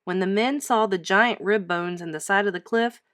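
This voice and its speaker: A woman reading a children's storybook aloud like a teacher, with emphatic, expressive storytelling. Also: medium pitch, normal speed, no room sound, clean studio sound.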